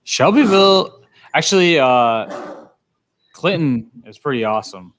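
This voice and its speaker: Young guy, lively swinging intonation, self-deprecating podcast banter. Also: a little high, a little slow, slight background noise. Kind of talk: casual podcast chat.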